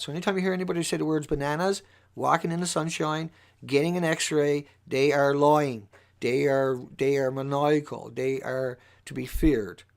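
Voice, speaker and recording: A man with a squeaky voice giving an impassioned, off-the-cuff livestream monologue, urgent and emphatic, repeating phrases for stress. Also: vocal fry. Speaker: livestream host.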